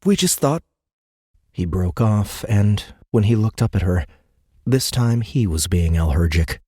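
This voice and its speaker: A man's voice: lowish voice, measured pace, mellow, breathy edge, dry recording, studio quality. A man narrating an audiobook, reading scripted third-person story prose.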